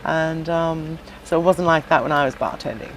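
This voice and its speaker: A woman's voice: low-pitched, steady tone, normal speed, a little hiss or hum, low-quality recording. A middle-aged woman, a former singer, chatting casually in an interview, with many fillers and restarts. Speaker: podcast guest, shop owner and former singer.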